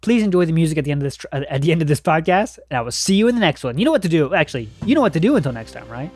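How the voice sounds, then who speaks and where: Earnest rambling man, slightly high voice. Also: big pitch swings, very fast, darkish tone. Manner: earnest, rambling, self-correcting pep talk. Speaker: podcast host. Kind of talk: solo podcast monologue.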